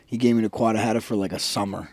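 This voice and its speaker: A man, chatting on a podcast and telling an excitable story about his youth. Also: fairly low pitch, no room sound. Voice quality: raspy. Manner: excitable, quick reactions, laughs while telling stories. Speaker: podcast co-host. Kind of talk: casual chat.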